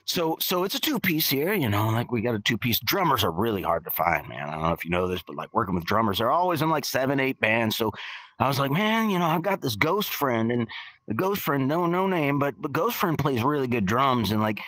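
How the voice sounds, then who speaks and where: A man's voice: mid-range voice, very animated, brisk, fairly bright tone, no room sound. A man playing a laid-back, centuries-old skeleton rocker who talks like a drawling slacker and says "man" constantly. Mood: chill. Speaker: bassist and singer in the guest band. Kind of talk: in-character storytelling. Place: virtual-world podcast, dry sound.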